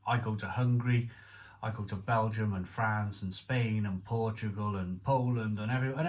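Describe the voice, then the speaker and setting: Rambling man reviewing beer. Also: mid-range voice. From Wales. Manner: chatty, rambling with many restarts and asides. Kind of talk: casual beer review to camera.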